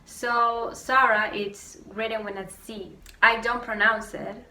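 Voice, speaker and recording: A young woman from Venezuela, with a Venezuelan accent and Spanish as her first language, ranting casually to camera with lots of fillers. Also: a little high. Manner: quick, animated storytelling with many fillers. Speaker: vlogger. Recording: roomy echo, distant mic, faint noise.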